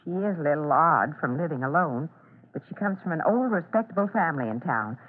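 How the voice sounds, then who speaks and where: Lively, brisk, husky-voiced mother. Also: deep voice. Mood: reassuring. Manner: encouraging, motherly, matter-of-fact. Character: kindly, reassuring mother of two children. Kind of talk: old-time radio drama acting. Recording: tinny vintage radio recording.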